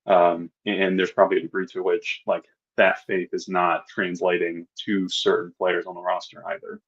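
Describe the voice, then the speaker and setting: A man's voice: low-pitched, little pitch movement, moderate pace, fairly bright tone, slightly rough, highs cut off. A man co-hosting a podcast, thinking aloud with hesitant restarts. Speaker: podcast co-host. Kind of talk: casual sports chat.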